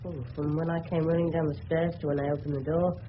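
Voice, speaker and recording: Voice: mid-range voice, level tone, fast talker, lightly acted. A performer playing a polite, earnest, nervous adolescent schoolboy with an English accent in a radio drama. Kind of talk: 1970s radio drama acting. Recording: dull hissy old radio recording.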